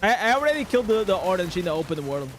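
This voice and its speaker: Excitable man streaming a game. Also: high-pitched, rapid-fire, slight background noise. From Portugal. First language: Portuguese. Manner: excitable, reacting loudly to loot. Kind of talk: live gameplay commentary.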